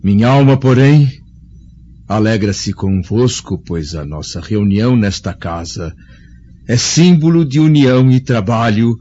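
An actor with a Brazilian accent playing a dignified, humble old Roman senator in a radio drama, formal and emotional. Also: male voice, medium pitch, expressive, a little slow, mellow, muffled recording. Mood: grateful, moved.

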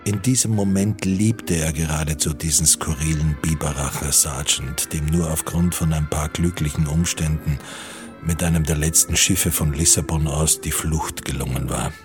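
A man narrating a literary radio drama about postwar times in a measured way. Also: a bass voice, steady tone. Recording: music under the voice.

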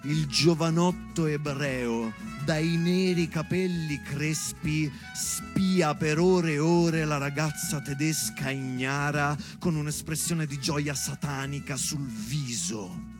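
A man's voice: a little high, slow, few pauses, music in the background. A man delivering a storytelling theatre monologue as if to his daughter, with conversational asides and dramatic emphasis. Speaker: stage actor and monologuist.

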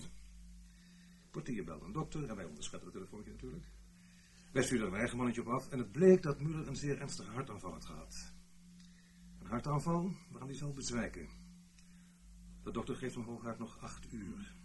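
A man acting in a radio play as a matter-of-fact intelligence agent, briefing in an emphatic, deliberate manner. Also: mid-range voice, darkish tone, a little hiss or hum, natural voice.

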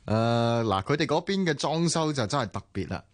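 A man's voice: medium pitch, lively intonation, normal speed, lightly acted. An actor in his twenties with a Hong Kong Cantonese accent playing a cheeky younger brother in a radio drama, playful and teasing. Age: 20s. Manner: playful, teasing, laughs often.

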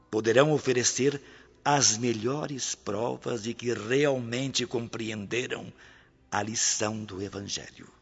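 A man acting a solemn, benevolent spirit guide who preaches forgiveness in a measured way in a radio drama. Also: lowish voice, slightly rough, exaggerated voice. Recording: muffled, long reverb, music behind.

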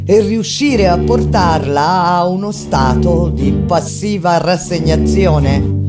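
Slow, solemn woman narrating over guitar. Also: low-pitched. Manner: solemn, deliberate, measured with pauses. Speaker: theatre actress narrator. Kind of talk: dramatic theatrical scripted narration. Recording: voice over loud plucked guitar music. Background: loud acoustic guitar music.

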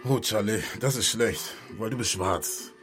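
A man's voice: fairly low pitch, a little slow, over music, close to an everyday voice. An actor playing a blunt, streetwise man among friends, talking colloquially in a radio drama. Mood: worried. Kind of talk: naturalistic radio drama dialogue.

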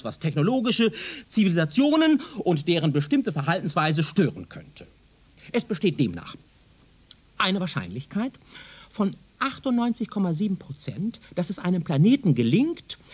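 A pompous professor for a radio play, swoops up and down, husky, super condescending. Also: male voice, high-pitched, heavily acted. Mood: didactic, proud. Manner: pompous, didactic, declamatory, condescending. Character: pompous, self-assured male professor lecturing on his doctrine. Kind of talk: German radio drama acting.

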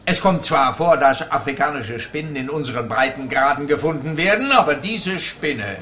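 A man playing a pompous, sarcastic, slow-witted police detective in a comic radio play, speaking officiously and incredulously. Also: fairly high pitch, expressive, bright, forceful tone. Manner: incredulous, officious, dramatically drawn-out phrasing.

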